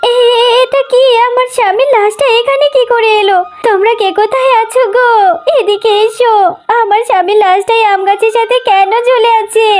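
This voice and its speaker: Woman as a frantic, piercingly high widowed vixen. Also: fairly even intonation, moderate pace, a little hiss or hum. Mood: shocked, frantic. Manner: frantic, exclaiming. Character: distraught widowed vixen, alarmed and calling for help.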